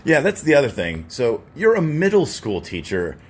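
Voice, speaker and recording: A man's voice: mid-range voice, normal speed. An American man working as a radio host, asking questions in a casual, conversational interview style. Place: stadium radio booth. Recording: clear steady ambient noise behind the voice.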